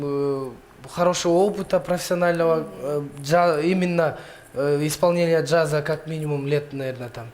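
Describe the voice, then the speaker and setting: A man from Kyrgyzstan giving a talent show critique, halting as he searches for words. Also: a little high, darkish tone. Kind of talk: spoken critique.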